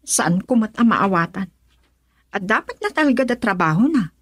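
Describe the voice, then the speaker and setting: A woman's voice: medium pitch, very animated, moderate pace, breathy edge, dry recording. A woman acting in an Ilocano radio drama as an opinionated character, speaking in an emphatic, questioning way.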